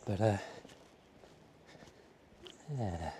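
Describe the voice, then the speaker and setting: Mellow, slightly croaky man vlogging outdoors. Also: low voice, extremely slow, slight background noise. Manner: rambling, musing aloud to the viewer. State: slightly croaky from a fading cold. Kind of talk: casual outdoor walking vlog chat. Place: outdoors, walking by a village road and seafront. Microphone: small wireless clip-on mic.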